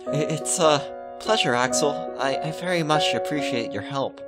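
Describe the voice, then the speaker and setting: A man's voice: on the high side, normal speed, over loud music, pitched above the performer's own voice, close to an everyday voice. A man voicing a sweet, coy young witch girl in a visual novel, cutesy and hesitant. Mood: sweet, shy.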